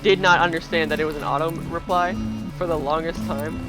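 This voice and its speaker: A young woman with a deep voice streaming on a headset, rambling and swearing casually with lots of filler. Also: fairly even intonation, brisk. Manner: rambling, restarts, heavy "like" filler, swears freely. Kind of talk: casual livestream chat. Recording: music playing under the voice.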